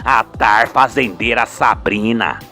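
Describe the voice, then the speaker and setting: A man's voice: on the low side, slow pace, sharp tone, soft background music, voice pushed down. A man voicing an indignant old cheesemaker, folksy and emphatic, in a radio soap opera. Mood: respectful, earnest.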